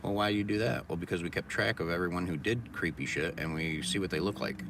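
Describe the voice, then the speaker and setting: Very deep-voiced man, rambling and sarcastic. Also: fast talker. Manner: rambling, sarcastic, reading chat comments aloud. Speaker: vlogger. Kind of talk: casual monologue. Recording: distant mic with loud background noise.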